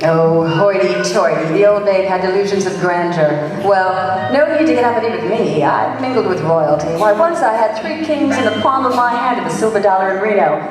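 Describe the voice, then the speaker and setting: A woman's voice: medium pitch, moderate pace, hardly any pauses, crowd noise, natural voice. An actress playing a brash, wisecracking female private detective in an old-time radio play, with a sassy, dry manner. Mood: wry. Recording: echoey hall, distant microphone.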